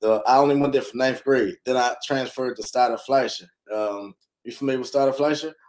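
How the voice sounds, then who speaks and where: Relaxed man telling his story. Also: medium pitch, brisk. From North Philadelphia, USA. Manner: relaxed, rambling, lots of fillers. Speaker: guest, real estate investor and lender. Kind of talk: casual interview storytelling.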